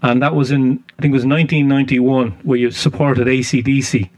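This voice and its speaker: A man's voice: mid-range voice, level tone, a little fast, darkish tone, no echo. A man with an Irish accent interviewing a musician on the radio, asking long, rambling questions with plenty of fillers. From Ireland. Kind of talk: casual interview chat.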